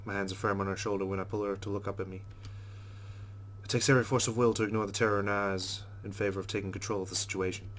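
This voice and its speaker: A man's voice: lowish voice, level tone, fast, fairly bright tone. A man hosting a let's play, reading visual novel narration aloud expressively and dropping into casual asides.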